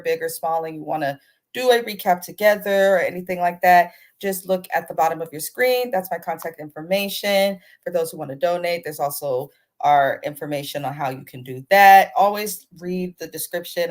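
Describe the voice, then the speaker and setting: A woman with a somewhat low voice hosts a chatty TV recap. She sounds under the weather, a little congested and not quite crisp. Her delivery is informal and aimed straight at her viewers. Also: fairly quick. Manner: informal, addresses viewers directly as "you guys". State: under the weather, sore throat with throat clearing. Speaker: host of a TV recap channel. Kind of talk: casual, chatty reality TV recap.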